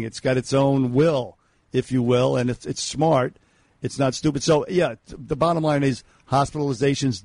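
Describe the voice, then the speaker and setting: A man with a raspy, gravelly voice holds forth on talk radio. He talks bluntly, rambling through fillers and restarts. The studio sound is dry and close. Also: medium pitch, a little mellow, dry recording. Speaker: radio co-host. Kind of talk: opinionated talk radio chat.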